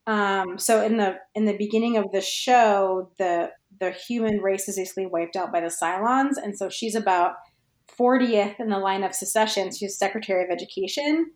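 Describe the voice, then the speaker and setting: A woman speaking as a guest writer in a casual podcast discussion, thoughtful and hesitant as she circles toward the right phrasing. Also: medium pitch. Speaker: guest fan fiction writer. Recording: roomy, echoey sound.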